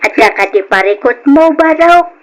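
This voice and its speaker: An actress with an Ilocano accent playing a concerned, inquisitive aunt in an old radio drama. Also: female voice, high voice, lively intonation, moderate pace, a little hiss or hum.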